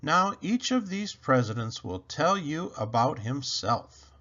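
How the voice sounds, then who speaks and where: Animated, slow-paced man reading storybooks. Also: a little high, dull audio. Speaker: picture book read-aloud reader. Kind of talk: animated scripted storybook narration for children.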